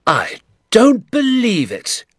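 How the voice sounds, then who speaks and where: A man voicing an excitable little old country doctor in an audiobook, kindly and indignant, with emphatic, drawn-out, theatrical delivery. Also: mid-range voice, breathy edge, dry recording, studio quality, voice pushed up, exaggerated voice. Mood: outraged. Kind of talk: acted audiobook dialogue.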